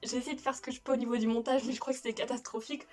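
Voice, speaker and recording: Young French woman vlogger, rapid and self-mocking chat from her room, bright-ish higher voice. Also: level tone. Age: young adult. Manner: chatty, self-mocking, frequent laughs and restarts. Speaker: YouTuber. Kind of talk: casual vlog chat. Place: bedroom at home.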